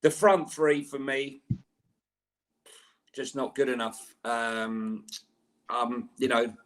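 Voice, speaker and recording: A man co-hosting a live fan podcast, excitable and stammering through his points. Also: medium pitch, slow, rough recording. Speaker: podcast co-host.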